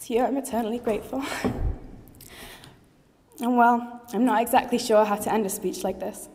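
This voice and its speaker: A woman's voice: a little high, steady tone, moderate pace, a little mellow. Earnest teenage girl giving a speech in a hall. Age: late teens. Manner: earnest and heartfelt, with light jokes. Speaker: graduating student speaker. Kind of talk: formal graduation speech. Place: large assembly hall. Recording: long reverb of a large hall.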